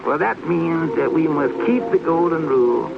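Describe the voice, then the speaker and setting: A man's voice: fairly high pitch, expressive, vocal fry, heavy background noise. An actor playing a tender, devout father who patiently explains a prayer to his child at bedtime in an old radio drama. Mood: tender, reverent. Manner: gentle, slow, patient explaining. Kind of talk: 1940s-50s radio acting. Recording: old muffled radio recording, music behind. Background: choir music from a radio.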